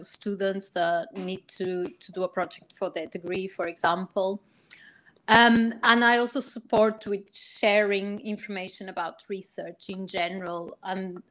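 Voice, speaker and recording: A woman's voice: mid-range voice, fairly bright tone. Woman explaining patiently. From Portugal. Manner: measured, explanatory, with frequent ums and rephrasing. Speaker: guest, research psychologist. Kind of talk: podcast interview.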